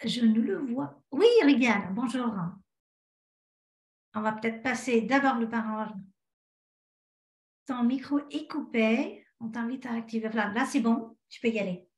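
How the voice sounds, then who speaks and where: A woman moderates a live online meeting informally. Her voice is slightly high and carries a strong echo, as heard over a video call. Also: a little slow, long pauses, a little mellow. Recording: echoey video-call audio.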